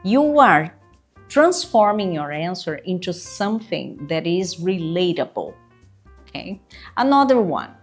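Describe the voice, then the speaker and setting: Brazilian accent lady coaching people, kinda low voice, earnest, keeps asking right?. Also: very animated, unhurried, husky, faint music behind. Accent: Brazilian Portuguese. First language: Portuguese. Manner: earnest, emphatic, checks in with "right?". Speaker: interview coach. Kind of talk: instructional video talk.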